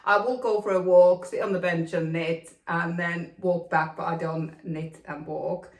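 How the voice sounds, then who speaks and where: A woman's voice: on the low side, fairly even intonation, unhurried. A woman from Finland hosting a knitting podcast, talking casually with lots of ums and an upbeat, chatty manner. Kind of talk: casual chat to camera. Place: home room with plastic extension, rain outside. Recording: echoey room.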